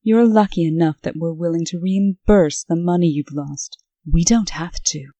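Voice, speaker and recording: A woman playing a stern, brisk official in a comic dub, speaking with dry, scolding authority. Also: fairly low pitch, warm tone, no echo, lo-fi sound, natural voice. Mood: stern, businesslike. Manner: crisp, authoritative, dryly scolding. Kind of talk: scripted comic-dub acting.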